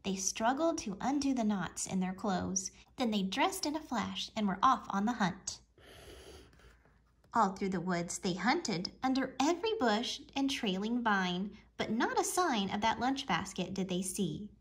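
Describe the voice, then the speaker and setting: A woman reading a children's storybook aloud with expressive, deliberate storytelling. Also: mid-range voice. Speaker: storybook reader. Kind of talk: children's read-aloud narration.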